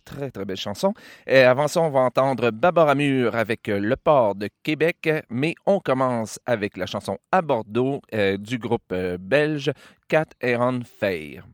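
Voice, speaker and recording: A man's voice: medium pitch, no room sound, studio quality. A man hosting a radio music show, casually announcing songs and credits with frequent hesitations. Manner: relaxed, hesitant with frequent "euh" while reading song credits. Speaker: radio host.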